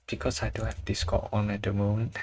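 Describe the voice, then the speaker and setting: A man's voice: fairly low pitch, monotone, a little fast, a little mellow, slight background noise. A man with a Chinese accent, chatting casually with viewers while he draws on a livestream, often searching for words and chuckling. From China. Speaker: drawing livestreamer.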